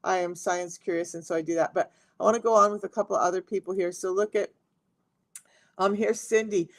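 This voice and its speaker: Raspy, gravelly woman live-streaming. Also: mid-range voice, fairly even intonation, fairly quick. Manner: chatty, talks straight to viewers. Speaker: health coach hosting a live stream. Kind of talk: casual live-stream talk.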